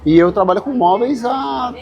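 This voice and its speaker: A man's voice: very high-pitched, normal speed, creaky, darkish tone, lo-fi sound. A middle-aged man with a São Paulo Brazilian accent, chatting casually and slangily as an interview guest. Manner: informal, slangy. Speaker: interview guest, furniture designer. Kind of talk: casual chat.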